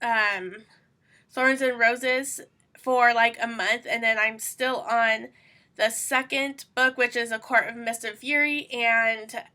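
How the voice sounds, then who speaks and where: Young woman host, congested and sniffly, bright higher voice, rambling casual chat. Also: steady tone, slow pace. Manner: rambling, chatty, many fillers. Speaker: booktuber host.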